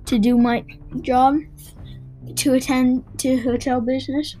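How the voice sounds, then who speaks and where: A woman voicing a surly, glowering handyman in a storybook, calm and confrontational. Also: high voice, a little slow, faint music behind, poor audio. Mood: calm menace. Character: surly, glowering one-eyed handyman with a limp.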